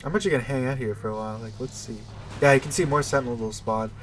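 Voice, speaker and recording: A man's voice: mid-range voice, racing, a little hiss or hum. A man with a stuffy, nasal voice giving casual, rambling, unscripted commentary while playing a video game. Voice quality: nasal, congested. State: stuffy nose.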